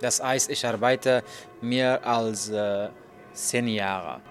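A man, telling his story as a journalist in halting speech full of fillers and restarts. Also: mid-range voice, steady tone, faint music behind. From Afghanistan. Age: 30s. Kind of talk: hesitant interview answers in learner German.

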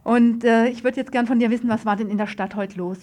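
A woman's voice: a little high, fairly even intonation, fast talker, warm tone. A woman presenting and interviewing in a radio studio, informal and conversational in her address. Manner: conversational, probing follow-up questions.

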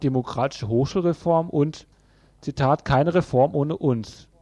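A man's voice: fairly high pitch, warm tone, no room sound. A man reading a scripted, opinionated news report as a radio presenter.

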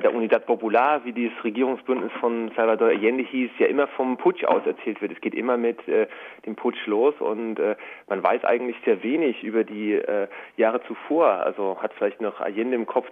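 A man explaining things as an interview guest, thoughtful and hesitant, often pausing mid-phrase. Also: mid-range voice. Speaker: interview guest from a Latin America news agency project. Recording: narrow, tinny phone-like line.

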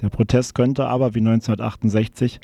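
A man's voice: mid-range voice, little pitch movement, fast, creaky, dark tone, no echo. A man reading scripted radio news soberly, now and then stumbling over a word.

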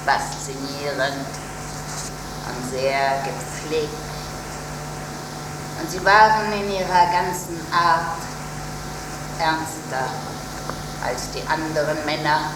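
Female stage role: an enraptured Nazi commandant's wife, slow, halting monologue, very noisy live theatre recording. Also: low voice, heavy reverb, recorded from a distance. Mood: admiring, hushed. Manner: dramatic, halting, swinging from hushed to fervent. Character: aging Nazi camp commandant's wife looking back, enraptured.

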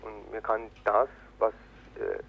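A man interviewed as an activist, explaining earnestly and haltingly over a noisy, tinny phone-like line. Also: fairly low pitch, sing-song, vocal fry. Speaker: political activist being interviewed. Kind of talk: spontaneous spoken interview.

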